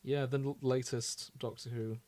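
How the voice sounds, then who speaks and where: A man's voice: mid-range voice, steady tone, normal speed, a little mellow, no room sound. A man co-hosting a podcast in casual comedic chat, joking and giggly. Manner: jokey, giggly. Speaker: podcast co-host.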